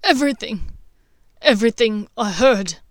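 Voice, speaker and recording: A woman's voice: on the high side, takes it slowly, fairly bright tone, no room sound, clean studio sound. A woman voicing a frantic young male wizard who stammers and pleads in anguish. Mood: frantic pleading.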